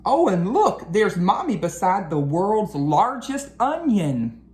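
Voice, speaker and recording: A woman reading a storybook aloud to children in an expressive, deliberate, sing-song way. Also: medium pitch. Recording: roomy echo with faint background noise.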